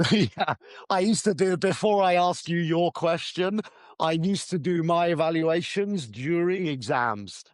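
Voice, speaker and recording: Chatty man, fairly high voice, laughing often. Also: animated, a little slow, dry recording, clean studio sound. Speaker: podcast co-host, teacher. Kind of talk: casual conversational podcast.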